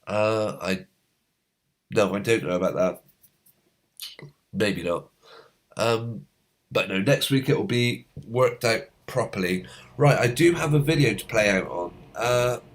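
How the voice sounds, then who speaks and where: A man hosting a live stream, reading out viewers' comments in a halting, rambling way, his voice going hoarse as a cold comes on. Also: lowish voice. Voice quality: slightly hoarse. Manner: rambling, halting, many fillers.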